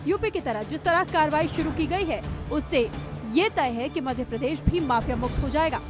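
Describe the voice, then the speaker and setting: High-pitched woman reading scripted news over music. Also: animated, normal speed. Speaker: news presenter. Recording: music bed under voice.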